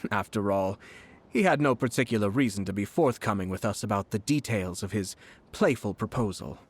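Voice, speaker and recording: An actor voicing a composed, courteous nobleman official in a video game, formal, measured and subtly sly. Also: lowish voice, lively intonation, slight background noise, lightly acted. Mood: tactful, sly. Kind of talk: video game voice acting, scripted dialogue.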